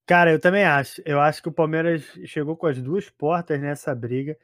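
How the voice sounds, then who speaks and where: A Brazilian man with a notably high-pitched voice talks football in a loose, slangy style. He is emphatic and repeats phrases to drive them home. The sound is dry, with very little room around it. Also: a little fast, no echo. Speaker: football podcast commentator. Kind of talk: casual football chat.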